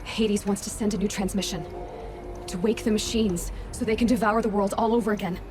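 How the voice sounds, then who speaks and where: An actress playing a determined, earnest young heroine in a video game, speaking with urgency. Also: female voice, medium pitch, steady tone, fairly quick, over music, lightly acted. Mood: wary, questioning. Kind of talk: dramatic video game dialogue.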